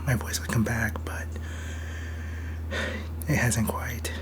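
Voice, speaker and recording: A young man with a hoarse, breathy voice from a sore throat, rambling casually through a series review and thinking aloud with many fillers. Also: medium pitch, little pitch movement, slow pace. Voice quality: breathy, half-whispered.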